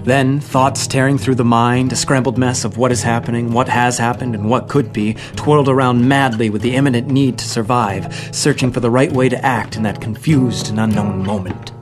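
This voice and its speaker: A man's voice: medium pitch, steady tone, normal speed, few pauses, over music, natural voice. A man playing an ancient, grim spirit, narrating a horror story in a solemn, literary, brooding way. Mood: grave, intense.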